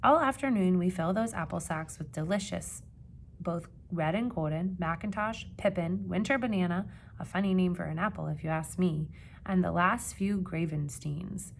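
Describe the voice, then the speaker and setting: A woman reading a children's picture book aloud like a teacher, with expressive emphasis and questions put to young listeners. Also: fairly low pitch, gravelly, a little hiss or hum. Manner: expressive, dramatic gasps and emphasis, pausing to ask questions.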